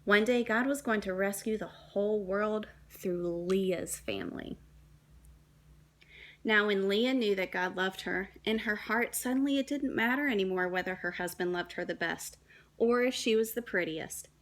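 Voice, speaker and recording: A woman, a young adult, reading a children's story aloud in a dramatic, deliberate way with shifts between emphasis and hush. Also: medium pitch. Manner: dramatic, deliberate phrasing with hushed and emphatic moments.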